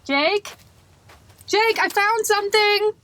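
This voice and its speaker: A woman's voice: extremely high pitch, slow pace, pushed and bright, exaggerated voice. A woman acting a searching character in a paranormal audio drama. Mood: urgent calling out.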